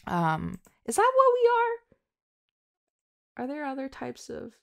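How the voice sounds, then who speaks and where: A woman talking casually and from the heart to her listeners, halting and self-deprecating, in an informal way. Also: high-pitched, wildly swooping pitch, vocal fry, a bit bright, dry recording, studio quality. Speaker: audio roleplay and ASMR creator.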